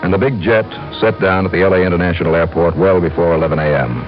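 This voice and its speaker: An American actor playing a wry, easygoing freelance insurance investigator in an old radio detective drama. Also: male voice, low-pitched, fast talker, close to an everyday voice. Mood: brisk, wry. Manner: wry, clipped expense-report storytelling. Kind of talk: 1960s radio detective drama, first-person narration and dialogue. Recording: dull old radio broadcast, music behind.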